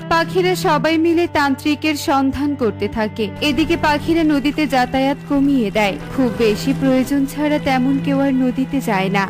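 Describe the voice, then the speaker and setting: A woman's voice: high voice, fairly quick, hardly any pauses. A woman reading scripted narration for a children's cartoon story. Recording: music playing behind the voice.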